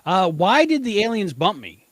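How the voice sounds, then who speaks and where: A man co-hosting a casual fan podcast, speaking informally in halting, hesitant phrases. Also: high-pitched, very animated, a bit bright, dry recording. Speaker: podcast co-host.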